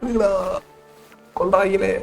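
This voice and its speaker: A Tamil-accented man chats as he streams a game, talking extremely fast in short offhand bursts. Faint game music plays behind him. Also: high voice, steady tone, vocal fry. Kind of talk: casual live gameplay commentary.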